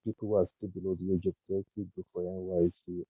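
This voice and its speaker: A man in his thirties speaks earnestly, with a croaky voice and many hesitant pauses. His voice sits very low. The call sounds thin and tinny, like a phone line with no room around it. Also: level tone, no echo. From Nigeria. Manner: earnest, thoughtful, halting with fillers. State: croaky, just woken early. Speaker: guest speaker. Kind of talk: online panel talk, personal story. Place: home, early morning.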